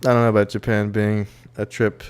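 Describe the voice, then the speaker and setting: A man's voice: on the low side, little pitch movement, fairly quick, a little mellow, clear, resonant tone. A young man chatting casually as a podcast co-host, loose and profane, full of "like" and restarts. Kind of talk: casual chat.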